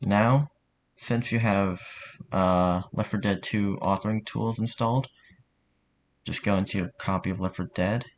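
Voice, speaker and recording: Tutorial host: man, lowish voice, casual and halting delivery, slightly noisy desk recording with typing behind. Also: fairly even intonation. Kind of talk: casual software tutorial narration.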